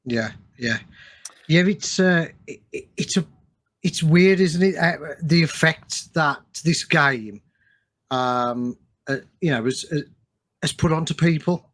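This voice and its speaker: Man, slightly higher-pitched, hosting casually. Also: slow, a bit bright. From West Midlands, England. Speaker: podcast host. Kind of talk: casual interview chat.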